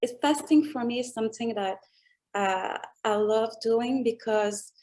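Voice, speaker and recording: A woman with a French accent answers interview questions in English, her second language. She speaks very slowly and reflectively. She pauses as she searches for the right words. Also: on the high side, warm tone. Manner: slow, thoughtful, searching for English words, laughs often. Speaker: music artist guest.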